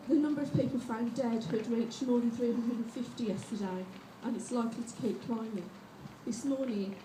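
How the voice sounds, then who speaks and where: Rally organiser, female, mellow tone, heard from a distance. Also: a little high, echoey room, slight background noise. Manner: steady, reading from notes. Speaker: rally organiser introducing speakers. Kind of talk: read-out speech.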